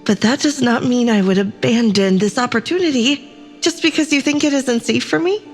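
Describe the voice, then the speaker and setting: A woman's voice: fairly high pitch, normal speed, faint music behind, natural voice. A woman playing an anxious, defiant crew member in an audio drama, speaking earnestly and with emotion. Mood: weary, defiant.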